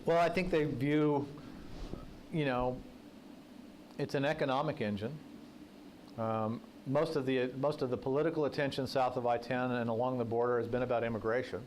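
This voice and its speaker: A man's voice: medium pitch, fairly even intonation, moderate pace, long pauses, a little mellow, slight background noise, poor audio. A man who is a political journalist, giving a conversational talk to a breakfast audience in a folksy, anecdotal way with joking asides. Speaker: guest speaker, political journalist. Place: breakfast event room.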